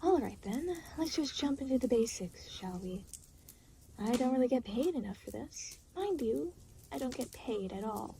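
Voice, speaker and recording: Casting: woman as aloof demon for audio roleplay, creaky voice, dry sarcasm, exasperated and weary, faint background noise. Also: a little high, lively intonation, normal speed, warm tone. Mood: weary, sardonic. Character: aloof, exasperated demon, reluctantly babysitting a new soul. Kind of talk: audio roleplay addressed to the listener.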